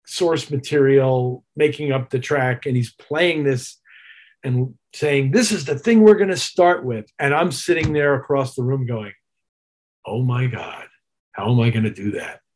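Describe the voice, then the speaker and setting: A man with a husky voice talking as a musician guest in an interview, measured and reflective as he recounts his career. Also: mid-range voice. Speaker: musician guest, touring keyboard player. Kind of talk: interview chat, telling career anecdotes.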